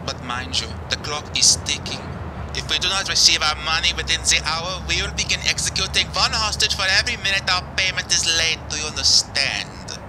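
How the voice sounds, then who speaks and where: Villain acted by a man: arrogant terrorist boss, slightly high pitch, formal menace, noisy traffic ambience. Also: a little fast, lightly acted. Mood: cold, pompous. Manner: pompous, commanding, formal. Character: arrogant, pompous male terrorist leader making demands. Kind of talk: radio play villain acting.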